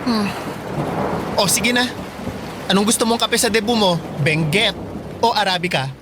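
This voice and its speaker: Radio drama best friend voiced by a woman: teenage Filipina, medium pitch, dry, sarcastic, joking. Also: normal speed, noisy background, close to an everyday voice. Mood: teasing.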